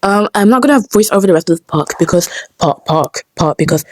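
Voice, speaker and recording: A woman's voice: fairly low pitch, animated, a little fast, a little mellow. A woman giving casual behind-the-scenes commentary, muttering asides to herself as she works. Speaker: amateur voice actor and vlogger.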